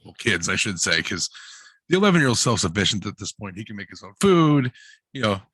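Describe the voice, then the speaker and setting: Male guest, low husky voice with a forceful edge, casual and joking, close dry sound. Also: sing-song, brisk, no room sound. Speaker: guest author and hairdresser. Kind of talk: casual chat.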